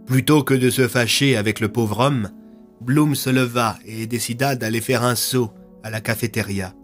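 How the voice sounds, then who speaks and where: A man's voice: medium pitch, fairly even intonation, normal speed, faint music behind. A man narrating a scripted audio fiction in a storytelling style.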